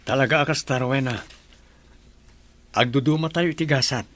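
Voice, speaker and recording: A man's voice: mid-range voice, moderate pace, a bit bright, natural voice. A man with an Ilocano accent playing a respectful, humble businessman in a radio drama. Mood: friendly.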